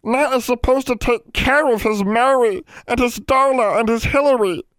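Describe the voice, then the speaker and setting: Tiny male house elf, very high pitch, wailing, servile, guilt-ridden, pleading, storybook character. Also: normal speed, fairly bright tone, dry recording. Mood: wailing self-blame. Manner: wailing, pleading, speaks of himself in third person. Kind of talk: audiobook character voice.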